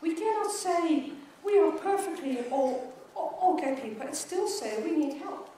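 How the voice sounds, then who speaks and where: A middle-aged woman with a Swedish accent speaks English to a conference audience, and she often stutters and repeats her words. Her voice sits high. It comes from a distance in a large, echoing hall, with faint noise underneath. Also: expressive, unhurried, warm tone. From Sweden. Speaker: keynote speaker. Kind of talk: conference keynote speech. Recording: echoey hall, mic from far off.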